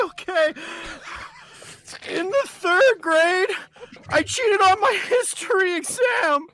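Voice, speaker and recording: A woman playing a panicky captive boy in an amateur script read, pleading and confessing in a halting, tearful way. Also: extremely high pitch, fairly bright tone, exaggerated voice.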